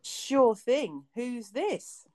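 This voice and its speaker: A woman's voice: a little high, sing-song, extremely slow, a little mellow. A woman based in Kent, co-hosting a casual podcast chat, friendly and laughing often as she asks questions. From England (Kent). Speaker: podcast co-host.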